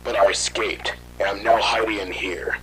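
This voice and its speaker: A man playing a guilt-ridden scientist in hiding, telling his story haltingly in an amateur horror audio drama. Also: on the low side, fairly even intonation, fairly bright tone, a little hiss or hum, close to an everyday voice, poor audio. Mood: fearful, remorseful. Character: guilt-ridden fugitive scientist father recounting his failed experiment.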